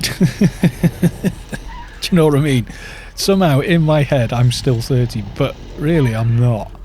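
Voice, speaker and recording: Mellow-voiced British man, chatty streamer. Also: medium pitch, a little slow, slight background noise. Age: 50s. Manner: rambling, chatty, reads viewer chat aloud. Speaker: gaming streamer. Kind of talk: casual stream chat while gaming.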